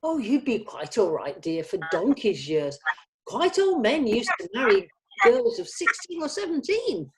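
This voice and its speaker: Actress as a genteel woman, hugely animated pitch. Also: unhurried, slight background noise. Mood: condescending. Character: genteel, condescending female Austen devotee. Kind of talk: table read of a comedy drama script.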